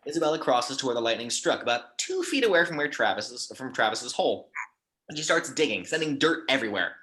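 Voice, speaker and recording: Bright-voiced male script narrator. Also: medium pitch, very animated, fairly quick. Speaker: narrator reading action descriptions.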